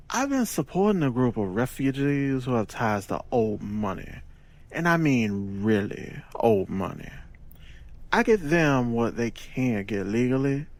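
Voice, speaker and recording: An actor playing a smooth gangster boss in an audio drama, with a deliberate, self-assured, persuasive delivery and sly wit. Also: male voice, mid-range voice.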